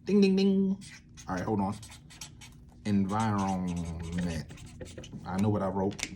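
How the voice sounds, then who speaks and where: A man with a fairly low voice talks through an informal lesson as he works it out aloud. He rambles, interrupting and correcting himself as he goes. There is some ambient noise in the recording behind him. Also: lively intonation, takes it slowly, warm tone. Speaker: creative methods teacher and playwright. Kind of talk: informal teaching at a whiteboard, thinking aloud.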